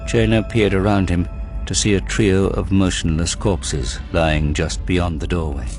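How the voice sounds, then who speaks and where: Male narrator for audio drama, very deep voice, measured and solemn scripted storytelling with music underneath. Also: steady tone, a little mellow.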